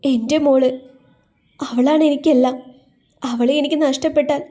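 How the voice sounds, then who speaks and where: A woman's voice: high voice, fairly even intonation, moderate pace, warm tone, voice pushed up, lightly acted. A woman voicing a heartbroken middle-aged father who talks in a pleading, despairing way.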